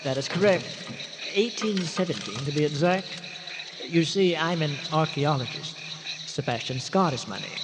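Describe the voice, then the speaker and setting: A man plays a dignified gentleman archaeologist with fussy, old-fashioned manners. He speaks precisely and rather pompously, with an animated delivery, in an old-time radio play with audible background noise. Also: a little high, close to an everyday voice. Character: Sebastian Scott, formal, fussy gentleman archaeologist.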